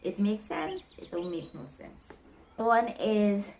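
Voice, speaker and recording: A woman's voice: medium pitch, slow pace, darkish tone, a little hiss or hum. A woman from Guyana with a Guyanese accent and a touch of Trinidadian, chatting expressively in a casual vlog and acting out the phrases she explains. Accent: Guyanese, with Trinidadian creeping in. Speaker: vlogger explaining slang.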